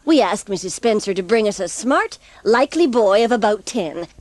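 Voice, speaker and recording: A woman playing a plain-spoken, firm older farm woman in an audiobook drama, measured and matter-of-fact. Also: mid-range voice, expressive, a little mellow, no echo, lightly acted. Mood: explaining firmly.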